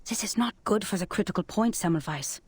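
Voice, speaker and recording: An actress playing a stern, serious female superior in a video game, speaking formally and gravely. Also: mid-range voice, animated, a little fast, dry recording. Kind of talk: video game character acting.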